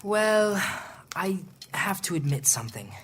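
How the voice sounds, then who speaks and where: A woman's voice: mid-range voice, sing-song, unhurried, a little hiss or hum. An actress playing a good-natured, earnest young boy in a family audio drama. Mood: sheepish, earnest.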